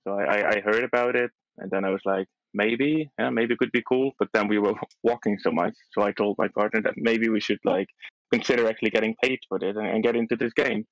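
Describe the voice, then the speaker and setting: Low-voiced man, Dutch native, dry sound. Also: fairly even intonation, quick pace, no echo, rough recording. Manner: hesitant, restarts often, soft laughs. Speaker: podcast guest. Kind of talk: casual chat.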